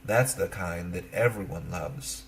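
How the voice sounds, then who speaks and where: A man's voice: sits low, measured pace, gravelly, slight background noise. A man voicing a wicked queen disguised as a sly old beggar woman in a storybook, coaxing and falsely sweet. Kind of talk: storybook character voice.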